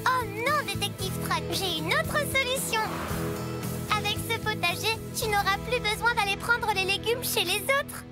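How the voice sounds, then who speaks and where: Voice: very high-pitched, normal speed. A performer voicing a small, eager, childlike talking truck in a French children's cartoon, with a playful delivery. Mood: kind. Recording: music bed behind the voice.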